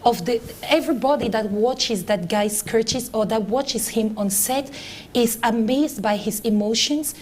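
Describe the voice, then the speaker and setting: Higher-pitched woman panelist, faint noise. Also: unhurried, a little mellow. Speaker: debate panelist. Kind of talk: round-table debate, formal explanatory remarks.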